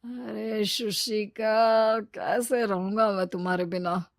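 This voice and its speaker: A woman's voice: medium pitch, fairly even intonation, slow pace, no echo. A woman performs a selfish businessman husband from a moral cartoon tale. The voice is medium for a woman and dry. He comes across boastful, brash and fickle. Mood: pleading. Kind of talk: Hindi cartoon story dialogue.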